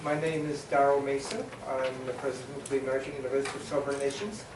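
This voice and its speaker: A man from New Zealand moderating a conference panel discussion, summarizing points with many pauses and filler sounds. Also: medium pitch, flat delivery, background noise. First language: Maori. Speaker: panel facilitator. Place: conference hall. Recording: echoey hall, mic at a distance.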